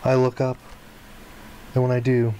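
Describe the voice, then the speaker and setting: Mellow game player reading aloud over noise. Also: medium pitch, completely flat delivery, unhurried, lo-fi sound. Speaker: let's player reading a visual novel aloud. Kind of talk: expressive read-aloud with casual asides.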